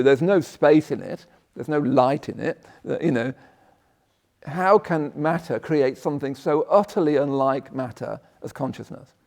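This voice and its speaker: A man with a croaky voice giving a conversational Buddhist talk, often checking in with "yeah?" and breaking off his sentences. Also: on the high side, a little mellow. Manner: conversational, tag questions, self-interrupting. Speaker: Buddhist teacher. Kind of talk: dharma talk. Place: meditation hall.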